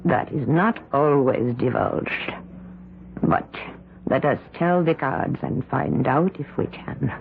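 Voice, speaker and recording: Brief: gravelly, unhurried coven master, radio play, phone-thin sound. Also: male voice, medium pitch, expressive, a little hiss or hum. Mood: ominous. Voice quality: gravelly, slow and solemn. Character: slow, gravelly male coven leader, ominous. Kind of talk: 1970s radio drama acting. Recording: phone-like old radio recording.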